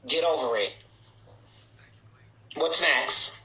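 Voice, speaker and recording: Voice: medium pitch, drawn-out words, pushed and bright, reverberant. A livestream host, born in Trinidad and raised in Boston, talking bluntly and sassily to viewers. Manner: blunt, sassy, outspoken. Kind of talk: livestream rant answering viewers.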